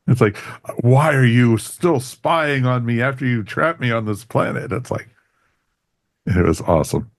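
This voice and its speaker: A man's voice: mid-range voice, lively intonation, measured pace, pauses often, no room sound, studio quality. A man co-hosting a podcast, giving an enthusiastic, casual review as he chats with others. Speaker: podcast co-host reviewing audio dramas.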